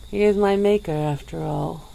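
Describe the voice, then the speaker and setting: A woman voicing a centuries-old female vampire, calm and regretful, speaking slowly and formally in an ASMR roleplay. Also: lowish voice, gravelly, poor audio. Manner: slow, measured, formal speech.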